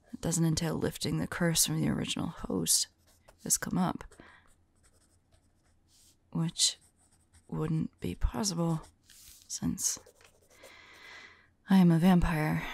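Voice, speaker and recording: A woman playing a weary, devoted vampire lover in an ASMR audio roleplay, speaking softly and haltingly in a breathy, whispery voice. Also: fairly low pitch, dry recording, exaggerated voice. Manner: gentle, halting, hushed delivery. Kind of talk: ASMR audio roleplay, soft intimate acting.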